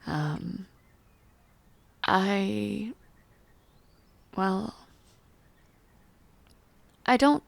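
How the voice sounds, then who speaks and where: A woman playing a refined, gentle, tired noblewoman in a fantasy audio roleplay, speaking formally and softly in measured phrases with hesitant pauses. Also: medium pitch, lively intonation, creaky, dry recording, exaggerated voice. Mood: wistful, hesitant. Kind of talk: fantasy audio roleplay, intimate one-sided dialogue.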